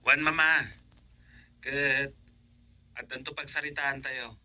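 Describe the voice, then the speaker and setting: A man's voice: medium pitch, steady tone, slow, sharp tone, dry recording. A man with a Filipino accent acting in a radio drama as an earnest son in love, eager to marry. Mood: earnest, reassuring. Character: adult son in love, eager to marry, reassuring his mother.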